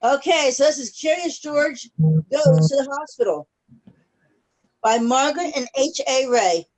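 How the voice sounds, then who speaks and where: A woman reading a picture book aloud to young children on a video call, pausing to check in with them using simple questions. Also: high-pitched, very animated, a little mellow, poor audio. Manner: pauses to check in with young listeners.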